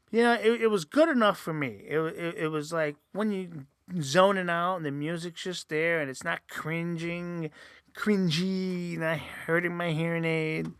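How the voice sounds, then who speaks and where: A man talks loosely and casually, with frequent fillers and restarts. His voice is bright and gravelly, with a slightly nasal edge. It sits fairly high for a man and is recorded dry and close. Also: no echo. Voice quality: says he sometimes sounds nasal. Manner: rambling, many fillers and restarts. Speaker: host. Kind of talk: casual solo review podcast.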